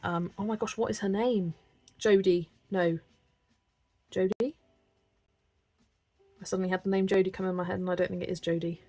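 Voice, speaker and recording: A woman's voice: mid-range voice, level tone, normal speed, pauses often, warm tone. A young English woman from the West Country with a mild accent, chatting casually while hosting a live stream and laughing often. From West Country, England. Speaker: live-stream host colouring.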